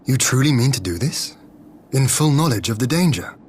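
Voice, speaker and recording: An actor playing a grave, noble warrior lord in a video game, with solemn, deliberate, archaic phrasing. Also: male voice, medium pitch, lively intonation, a little hiss or hum, natural voice. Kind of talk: video game cutscene acting. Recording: loud game music behind.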